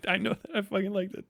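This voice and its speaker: A man's voice: sits high, gravelly, fairly bright tone, no room sound, studio quality. A man giving casual, ranting live-stream political commentary in a profane, scornful, emphatic way, often repeating phrases for emphasis.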